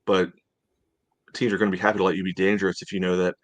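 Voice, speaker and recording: Low-voiced man chatting about soccer. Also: level tone, no echo. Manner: halting, peppered with "you know". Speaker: soccer podcast co-host. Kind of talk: casual match recap chat.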